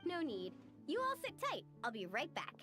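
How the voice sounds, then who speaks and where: High, bubbly young party organiser. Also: female voice, wildly swooping pitch, moderate pace, a bit bright, exaggerated voice. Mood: breezy. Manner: breezy, take-charge. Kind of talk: video game voice acting. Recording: music behind. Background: game music.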